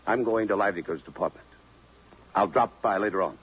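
An actor playing a tough, terse police lieutenant who is quietly smitten, blunt and clipped, in a 1950s radio drama. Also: male voice, fairly low pitch, brisk, lightly acted. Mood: softening. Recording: dull lo-fi 1950s radio recording.

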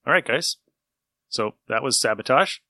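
Casting: animated male podcast host, bright forward voice, dry close sound. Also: mid-range voice, moderate pace, no echo. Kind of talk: casual chat.

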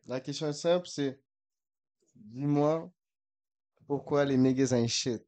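A man's voice: mid-range voice, slow, darkish tone. A man hosting a casual podcast, chatting in slangy mixed with English. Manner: slangy, mixing French and English. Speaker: podcast host. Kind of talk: casual chat, prank-style phone calls.

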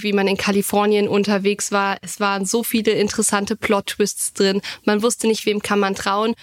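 A woman co-hosting a podcast in casual conversation. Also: medium pitch. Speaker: podcast co-host.

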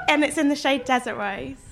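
A woman's voice: high-pitched, vocal fry, background noise. A woman from London introducing herself with giggles and jokes. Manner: giggly, joking.

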